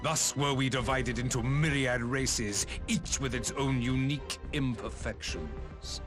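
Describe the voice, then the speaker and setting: An imperious, aging emperor acted by a man delivers grand, cold proclamations in a game cutscene. His delivery is very slow and measured, full of zealous conviction. Dramatic music plays clearly behind him. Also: medium pitch, natural voice. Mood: grave, condemning. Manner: grandiose, measured, archaic oratory.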